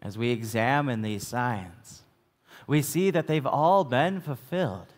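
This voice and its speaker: Male pastor, American, middle-aged, unhurried sermon delivery, mid-range voice, long church echo. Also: medium pitch. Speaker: Lutheran pastor. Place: church sanctuary, at the pulpit.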